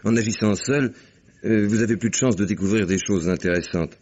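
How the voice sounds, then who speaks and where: A calm, measured investigator, played by an actor with a lowish voice in a vintage radio play. He speaks with quiet, faintly amused assurance. The sound is dulled like an old broadcast. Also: muffled recording, close to an everyday voice. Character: calm male police investigator. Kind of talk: 1950s French radio drama acting.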